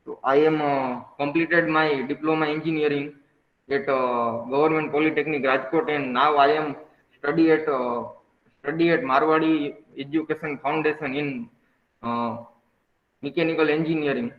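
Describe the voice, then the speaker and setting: A twenty-year-old man with a Gujarati Indian accent, a student introducing himself haltingly and searching for words. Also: a little high, steady tone. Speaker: engineering student. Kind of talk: spoken self-introduction. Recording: tinny phone-like audio, echoey room.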